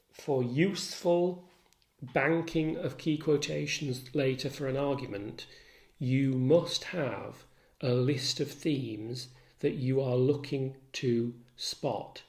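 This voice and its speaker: A man lecturing as a literature tutor, measured, articulate and instructive in his delivery. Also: medium pitch, gravelly, darkish tone. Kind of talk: literature lecture with recited quotations. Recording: roomy echo.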